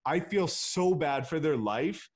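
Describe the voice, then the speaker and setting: A man's voice: on the high side, lively intonation, slow pace. A man, a real estate agent guest, talking bluntly and emphatically in a casual interview and swearing freely.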